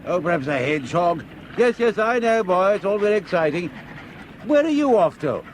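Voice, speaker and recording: A scheming middle-aged swindler with a patronizing, self-satisfied manner. His fairly high voice swings widely in pitch, with water and outdoor noise heard behind him. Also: big pitch swings, moderate pace. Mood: commanding. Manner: patronizing, says "dear boy". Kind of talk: 1970s radio drama acting. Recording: radio play with water noise.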